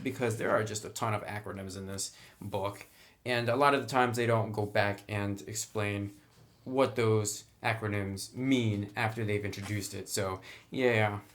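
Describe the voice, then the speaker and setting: A man talking through a casual, explanatory review in a conversational way, with dry, wry asides. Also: fairly low pitch. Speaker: tabletop game book reviewer.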